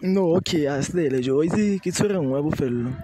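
A man's voice: on the high side, expressive, fast talker, background noise. A young man doing a casual, teasing street quiz outdoors on a school field, holding a phone out as a mic. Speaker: street interviewer. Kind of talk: casual quiz chat, mixing languages. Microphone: handheld phone held out as mic.

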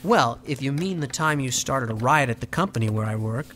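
A man's voice: mid-range voice, animated, fairly quick, fairly bright tone, slight background noise, natural voice. An actor playing a reluctant, flustered young man as a witness, hesitant and stammering, in a comic audio drama.

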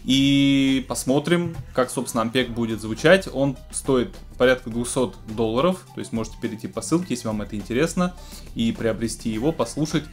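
A man's voice: mid-range voice, fairly quick. Man hosting music gear news over music. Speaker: host of a music technology news show. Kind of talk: news roundup to camera, semi-scripted casual talk. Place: small home studio room with keyboards.

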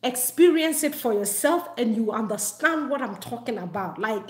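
A Ghanaian woman vlogging in a casual, animated way. She laughs often and repeats phrases for emphasis. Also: a little high, normal speed. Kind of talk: casual talk to camera. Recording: echoey room.

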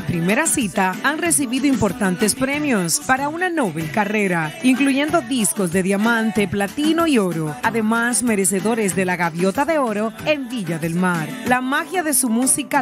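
A woman reads a scripted announcer voice-over in a grand, declamatory style. Her voice is fairly high, and loud music plays under her.

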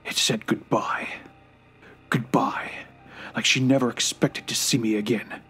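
Man playing a very husky, dying old grandfather. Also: medium pitch, fairly even intonation, bright, forceful tone, whispered, exaggerated voice. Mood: remorseful, grieving. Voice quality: husky, breathy. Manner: slow, halting. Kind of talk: audio drama acting. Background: music.

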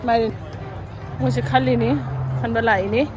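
A woman's voice: on the high side, fairly even intonation, very fast. A woman from Manipur filming a casual family vlog on a farm, chatty and coaxing with her children, on a handheld phone. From Manipur, India. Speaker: vlogger and mother. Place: outdoor farm pumpkin patch. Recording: busy outdoor crowd with loud music.

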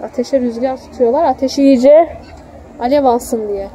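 A woman with a high, softly mellow voice talks casually to a child, explaining what is around them. She is recorded on a handheld phone outdoors, with faint ambient noise behind her. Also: normal speed, low-quality recording. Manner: explains and points things out. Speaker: mother filming a family outing. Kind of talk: casual vlog chat with a child. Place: outdoors in a theme park.

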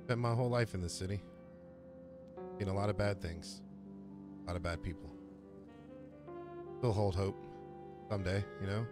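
A man's voice: on the low side, fairly even intonation, moderate pace, pauses often, over music, close to an everyday voice. A man voicing a brooding, world-weary city tough guy in a terse noir monologue for a game roleplay. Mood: brooding, grim.

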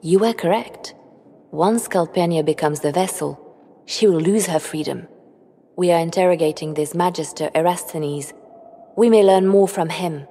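Dark, husky woman spymaster. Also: on the low side. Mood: cool, intrigued. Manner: measured, dry, formal. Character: cool, shrewd female spymaster. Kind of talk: video game dialogue acting. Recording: long hall reverb.

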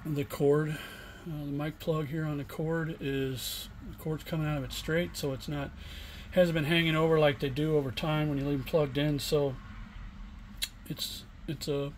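A middle-aged man giving a casual, unscripted product demonstration in a plainspoken, halting way. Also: on the high side, level tone. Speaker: online seller demonstrating used radio equipment. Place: indoor home workshop bench. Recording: room noise, homemade camera recording.

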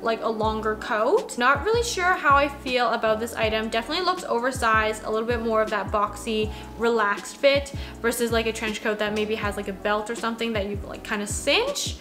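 A woman's voice: fairly high pitch, fairly quick, hardly any pauses, fairly bright tone, faint music behind. A woman in her twenties chatting casually from her home office as she reviews new clothing releases, with plenty of "like" fillers. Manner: chatty, conversational, lots of "like" fillers. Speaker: fashion vlogger. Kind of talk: casual product review chat. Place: small home office, indoors.

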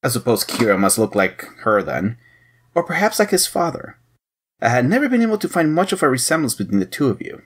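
An actor playing a shrewd, suspicious head agent, probing and mocking, in an audio drama. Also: male voice, medium pitch, very animated, a little fast, slightly rough. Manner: probing, sardonic.

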